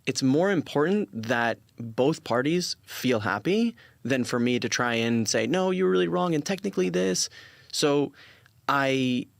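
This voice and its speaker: A man of about forty talks as a guest in a casual interview. His mid-pitched voice has a noticeable creak, and it is recorded dry. He is earnest and emphatic, often repeating words and voicing bits of dialogue. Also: lively intonation, unhurried, no echo. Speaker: interview guest, influencer marketing company founder.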